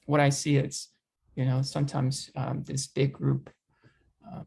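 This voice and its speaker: A young man co-hosting a podcast discussion, speaking haltingly and searching for words, with many fillers. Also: medium pitch, completely flat delivery, creaky, darkish tone, husky. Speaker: podcast co-host and teacher. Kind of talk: casual discussion.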